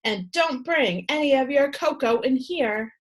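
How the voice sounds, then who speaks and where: Storybook school nurse, played by a woman reader: fussy, caring, emphatic, lively intonation. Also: sits high, unhurried, pitched above the performer's own voice. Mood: scolding. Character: fussy, brisk, kindly female school nurse.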